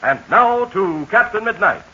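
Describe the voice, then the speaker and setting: A man announcing in a 1940s radio ad, making a peppy, folksy pitch to children. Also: expressive, unhurried, sharp tone, slight background noise. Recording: muffled 1940s radio transcription.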